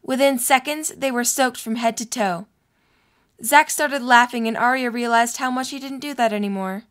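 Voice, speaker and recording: A woman's voice: a little high, moderate pace, a bit bright. A young adult woman reading scripted story narration for an audio drama.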